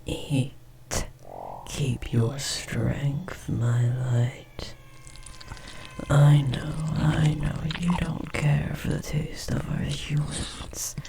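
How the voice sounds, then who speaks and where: A sinister insectoid parasite monster, acted by a man, speaks in a breathy whisper full of gravelly creak. He is possessive and devoted to his mate, condescending toward humans, and draws his words out very slowly in the manner of horror audio drama. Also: a little high, little pitch movement, exaggerated voice. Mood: tender, doting. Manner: slow, sinister, coolly condescending, tender about family. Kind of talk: horror audio roleplay acting.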